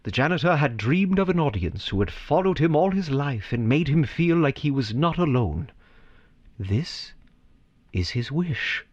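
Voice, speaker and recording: A man narrating a scripted story theatrically, with dramatic, deliberate phrasing and pauses. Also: fairly high pitch, sing-song, no room sound, dull audio.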